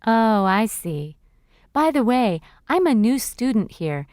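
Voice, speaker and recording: An actress playing a cheerful young female university student in a scripted textbook dialogue, speaking clearly and expressively. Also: fairly high pitch, very animated, slow pace, a little mellow, no echo, studio quality. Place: recording studio.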